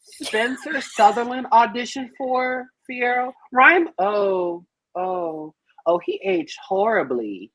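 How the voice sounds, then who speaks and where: A woman's voice: a little high, sing-song, takes it slowly, creaky, fairly bright tone, no echo, low-quality recording. A woman chatting casually on a group podcast in a blunt, sassy, theatrical manner. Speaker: podcast panelist. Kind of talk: animated casual group chat.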